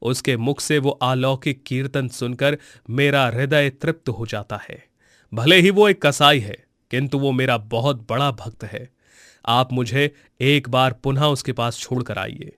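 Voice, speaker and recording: Benevolent cartoon god, acted by a man, measured formal delivery, serene and kindly, recorded dry. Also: mid-range voice, a bit bright, dry recording, close to an everyday voice.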